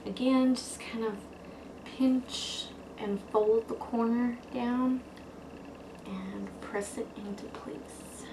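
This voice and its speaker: A woman's voice: a little high, fairly even intonation, takes it slowly, warm tone. A woman hosting a craft tutorial, talking viewers informally through each step. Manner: informal, addresses viewers as guys. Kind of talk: casual step-by-step how-to instruction. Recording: echoey room with faint background noise.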